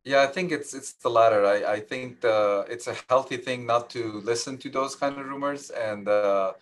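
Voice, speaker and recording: A man's voice: medium pitch, normal speed. A man who grew up in Lebanon with Armenian as his first language, speaking modestly over a video call from an office, with many restarts and fillers. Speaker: Nobel laureate guest. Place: office with large window. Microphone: video call webcam.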